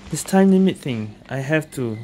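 A woman's voice: low-pitched, animated, moderate pace, very noisy. A woman giving casual gameplay commentary, thinking aloud in short reactive phrases. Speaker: video game streamer. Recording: game music behind the voice.

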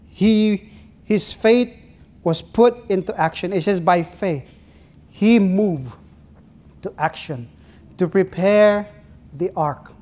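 A man's voice: high-pitched, darkish tone. Filipino pastor guy, middle-aged, earnest sermon, drags out phrases and quizzes the pews, small church, lapel mic. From Philippines. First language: Ilonggo. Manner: earnest preaching, drawn-out phrases, asks the congregation questions. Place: small church stage with pulpit.

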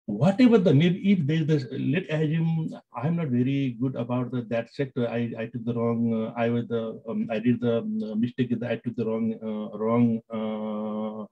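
A man with a Nepali accent answering interview questions in halting English, full of fillers and restarts. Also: mid-range voice, flat delivery. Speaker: business executive guest. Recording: dull lo-fi sound.

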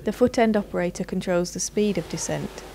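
A woman reads scripted instructional narration in a measured, formal, clearly enunciated manner. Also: fairly low pitch, noisy background. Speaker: narrator of a product training video.

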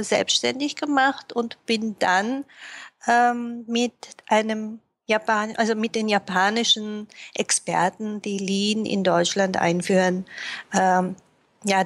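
A woman speaking as a guest in a podcast interview, speaking thoughtfully with frequent pauses and fillers. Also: mid-range voice. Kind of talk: casual interview talk.

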